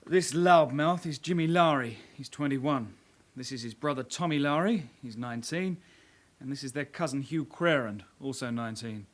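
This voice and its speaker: An actor playing a young detective constable in a radio drama, a brisk, matter-of-fact sidekick. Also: male voice, mid-range voice, natural voice. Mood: reporting.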